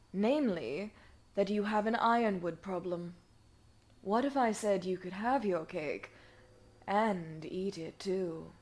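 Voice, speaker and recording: A woman's voice: medium pitch, close to an everyday voice. A woman voicing a smug, resentful genius scientist villainess in an animation fandub, with a haughty, articulate, theatrical delivery. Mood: smug, scheming. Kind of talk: dramatic animation fandub acting.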